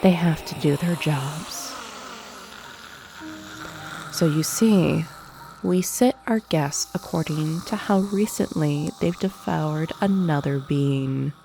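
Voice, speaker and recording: Demon duchess , voiced by a woman: haughty, sadistic banquet hostess for ASMR roleplay; dark mellow tone, very unhurried, breathy whispers, formal and teasing. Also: sits low, a little hiss or hum, full character voice. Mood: teasing amusement. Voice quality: breathy, often dropping into whisper. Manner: slow, formal, imperious, menacing teasing. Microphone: close binaural microphone. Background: soft piano music.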